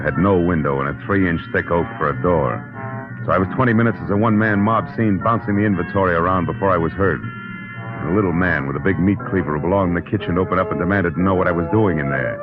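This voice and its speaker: Man playing hard-boiled detective, very low voice, sardonic clipped slang, old radio drama, dull recording with music behind. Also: fast, natural voice. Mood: tense, wry narrating. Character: hard-boiled private detective, tough, wisecracking adult man. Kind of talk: old-time radio detective drama with first-person narration.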